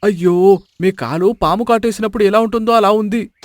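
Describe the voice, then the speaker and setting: A man's voice: high-pitched, a little fast, close to an everyday voice. A man voicing a sly, mock-solemn villager in a dramatised storybook tale. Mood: alarmed.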